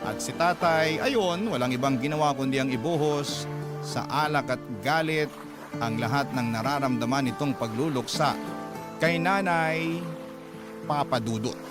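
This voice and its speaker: A man's voice: medium pitch, unhurried. A man hosting and narrating sentimental stories in earnest, dramatic scripted style. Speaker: radio drama host and narrator. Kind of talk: dramatic scripted storytelling in Tagalog, reading letters. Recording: loud music bed under voice.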